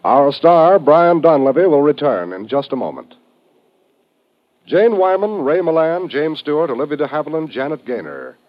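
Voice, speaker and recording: Casting: American male network announcer, fairly high voice, polished and emphatic, vintage 1950s radio delivery, dull band-limited sound. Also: big pitch swings, normal speed. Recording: dull old radio recording.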